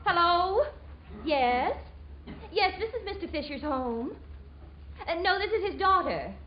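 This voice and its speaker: An actress playing an earnest, easily upset young wife and daughter in 1940s radio comedy. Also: female voice, very high voice, big pitch swings, unhurried, exaggerated voice. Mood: anxious. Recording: muffled 1940s radio recording, faint hiss.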